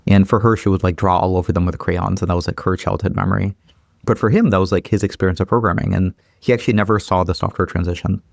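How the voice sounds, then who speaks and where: A man's voice: sits low, steady tone, gravelly, no echo, clean studio sound. A man in his thirties, originally from Ukraine, speaking as an interview guest in casual chat, with lots of fillers and restarts. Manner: quick, many fillers and repeated restarts.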